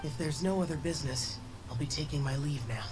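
A man's voice: a little high, fairly quick, music in the background, natural voice. Man voicing an aloof, solemn young immortal. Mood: aloof, curt. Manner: terse, solemn, formal. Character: aloof, stern young male immortal demon-slayer.

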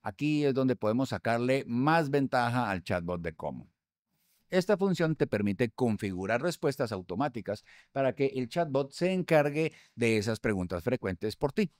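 A man, hosting a tutorial in an upbeat, conversational way with a few hesitations, recorded on a studio mic on a boom arm. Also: sing-song, moderate pace, darkish tone, no echo. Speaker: host. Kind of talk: tutorial explaining restaurant software. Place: studio room.

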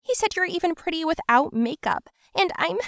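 A woman putting on an insecure young woman whose voice climbs high and trembles with emotion. She pleads and snaps with bitter hurt. The sound is dry and close. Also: expressive, slow pace, vocal fry, fairly bright tone, no room sound, exaggerated voice. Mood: tearful.

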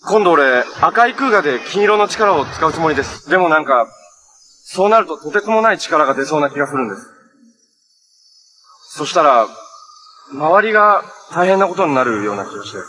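An actor playing a cheerful, earnest young superhero in a live-action TV drama, polite and easygoing. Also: male voice, a little high, very animated, fairly quick, long pauses, bright, forceful tone, a little hiss or hum, poor audio. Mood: serious, pleading. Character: cheerful, earnest young male hero who fights monsters.

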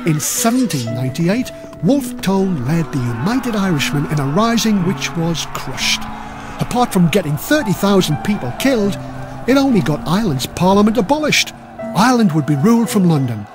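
High-pitched, animated man narrating. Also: normal speed, music in the background. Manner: theatrical, wry storytelling. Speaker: audiobook reader. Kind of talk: scripted comic history narration.

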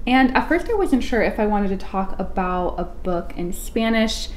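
A woman's voice: mid-range voice, normal speed, a little hiss or hum. A young woman in her twenties chatting to camera about a novel, with many ums and you knows. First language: English. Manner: chatty, frequent "um" and "you know", animated hand gestures. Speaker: book vlogger. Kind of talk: casual book review to camera. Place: small room at home, indoors.

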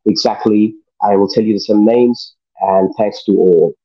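A man's voice: on the low side, measured pace, lo-fi sound. A middle-aged man hosting a talk show over a video call from his living room, enthusiastic and informal. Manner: enthusiastic, loose informal phrasing. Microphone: video call webcam.

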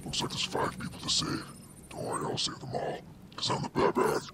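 A man voicing a cocky, dim, foul-mouthed vigilante hero in a gravelly growl for an amateur comedy game dub. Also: brisk, whispered, natural voice. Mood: deadpan. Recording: music behind the voice.

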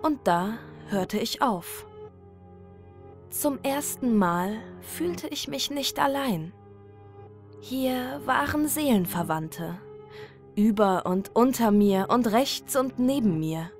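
A woman narrating a scripted first-person horror story in a measured, dramatic manner. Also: a little high, expressive, faint music behind.

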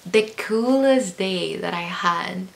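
Woman, twenties, from El Salvador, lower voice, casual interview, filler-heavy speech, small bright room. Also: takes it slowly, gravelly. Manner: chatty, many "like" and "um" fillers. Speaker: guest, chemical engineering PhD student.

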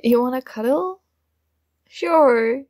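A woman's voice: high voice, slow pace, darkish tone, no room sound. A woman playing a shy, nerdy young classmate in a romantic ASMR roleplay, flustered and smitten, speaking in a hesitant, awkward way. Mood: nervous, awkward.

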